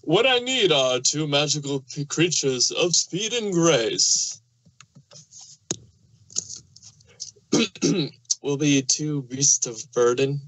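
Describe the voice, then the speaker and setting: A man's voice: fairly high pitch, very animated, takes it slowly, a bit bright, heavily acted, rough recording. A man voicing a jolly old Santa Claus, kindly and hearty, telling his lines in storybook rhyme. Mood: friendly, pleading. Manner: hearty, theatrical storytelling in verse. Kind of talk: rhyming storybook fan fiction reading.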